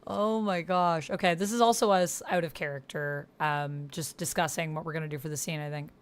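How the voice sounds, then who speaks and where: A woman's voice: deep voice, fairly even intonation, a little fast, smooth. A woman talking casually through an online text roleplaying game, thinking aloud in fragments and reading out what she types.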